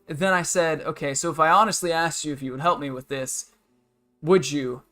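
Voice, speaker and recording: A man's voice: on the high side, steady tone, normal speed, bright, forceful tone, faint music behind. A man in his twenties chatting casually as a livestreamer, rambling and venting in a blunt, matter-of-fact way. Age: 20s. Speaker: game livestreamer. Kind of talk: casual rambling stream chat, venting about work.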